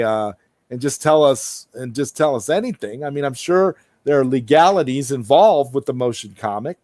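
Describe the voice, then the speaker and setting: A man's voice: mid-range voice, a little mellow, no echo. A man hosting a livestream, chatting casually and answering viewer comments, often repeating and rephrasing himself as he thinks aloud. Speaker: livestream host.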